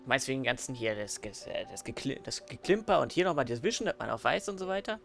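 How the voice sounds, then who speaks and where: A man's voice: mid-range voice, very animated, fast, vocal fry, a bit bright, soft background music. A man joking and mocking the game as he comments on it. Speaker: let's play host.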